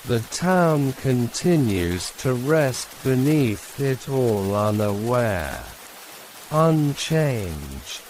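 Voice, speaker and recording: A man narrating a sleep story in a calm, hushed, soothing manner, leaving long pauses between short phrases. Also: mid-range voice, low-quality recording. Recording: steady rain and hiss behind voice. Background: rain.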